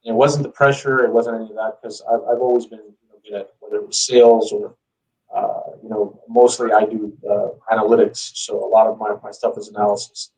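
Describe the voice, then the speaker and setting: A man's voice: on the low side, flat delivery, fairly quick, vocal fry, darkish tone, recorded from a distance, poor audio. A man telling his story as a guest in a casual interview, speaking informally with lots of "you know". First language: English.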